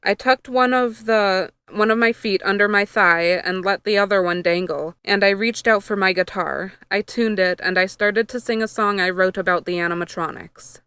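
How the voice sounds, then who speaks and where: Clear-voiced woman reading a story aloud. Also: medium pitch, normal speed, few pauses, a bit bright, no echo. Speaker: fan fiction reader. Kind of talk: scripted first-person story narration.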